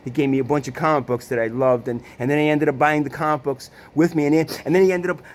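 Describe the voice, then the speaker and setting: A man's voice: on the high side, fairly even intonation, quick pace. A man telling a personal family story in casual talk, stumbling over restarts and repeated words, swearing often and tossing in sarcastic rhetorical questions. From Italy. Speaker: storyteller recounting his family.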